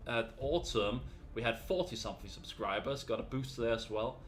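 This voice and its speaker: A man's voice: mid-range voice, moderate pace, faint music behind. Earnest man chatting. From Denmark. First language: Danish. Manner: earnest, rambling with frequent fillers. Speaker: gaming channel host. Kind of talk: casual talk to camera.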